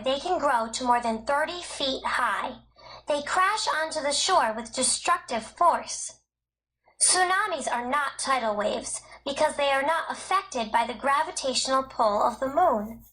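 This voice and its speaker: A woman with a high voice narrates educational text. Her delivery is scripted and measured, with every word carefully enunciated. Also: pushed and bright, low-quality recording. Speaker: textbook narrator.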